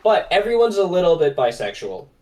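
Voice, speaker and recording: A man's voice: sits high, big pitch swings, brisk, mellow. A man co-hosting a casual Q&A, joking and self-deprecating as he reads out questions, on a distant mic with noise suppression. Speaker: co-host. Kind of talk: casual chat. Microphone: mic set far away, noise-suppressed.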